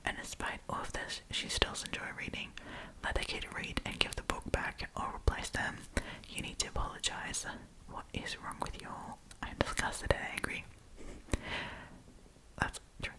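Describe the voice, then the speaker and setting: A woman speaking in a breathy whisper, chatty and informal, as an ASMR storytime host reading and commenting casually. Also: normal speed, pushed and bright, rough recording.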